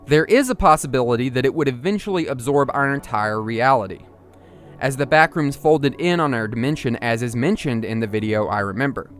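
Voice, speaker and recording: A man narrating an analytical video essay in a musing, explanatory and partly conversational manner. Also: medium pitch. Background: music under the voice.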